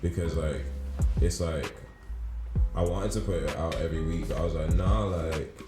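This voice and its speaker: A man's voice: extremely low pitch, fairly even intonation, moderate pace. A young man, a rapper chatting casually as a guest, laid-back and slangy. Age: 20s. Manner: laid-back, slangy, repeats "you know what I'm saying". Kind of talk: casual interview chat. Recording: echoey studio with music behind.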